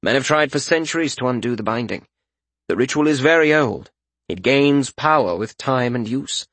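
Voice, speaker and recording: A man voicing a cool, detached king in an audiobook. He speaks in a calm, dry, deadpan way in a mid-range voice, and the sound is close and dry. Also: medium pitch, normal speed, dry recording.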